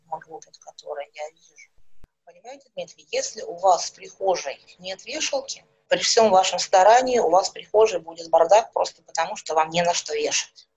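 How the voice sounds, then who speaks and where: A middle-aged woman, a speech therapist, calmly explaining things with everyday examples in an interview. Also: on the low side, slightly rough, low-quality recording. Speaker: speech therapist and psychologist guest.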